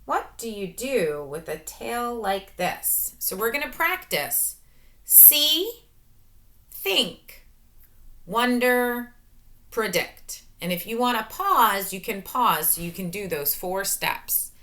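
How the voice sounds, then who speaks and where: Bright, lively woman teacher reading aloud. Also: medium pitch. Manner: expressive, slow, sing-song questions to young kids. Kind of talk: children's read-aloud lesson.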